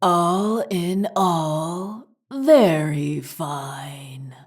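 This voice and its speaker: Woman voicing a slow, whispery, dignified candy monster. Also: lowish voice, animated, heavily acted. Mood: smug, dignified. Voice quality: rustling, whispery. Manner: slow, deliberate, pompous. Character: dignified candy monster, self-satisfied and greedy.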